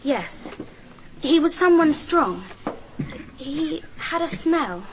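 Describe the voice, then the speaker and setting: A woman's voice: high voice, sing-song, extremely slow, heavily acted. An actress playing a sensible seventeen-year-old schoolgirl witness in a radio drama, composed and matter-of-fact. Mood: composed, earnest. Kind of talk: radio courtroom drama acting. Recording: noticeable background noise and ambience.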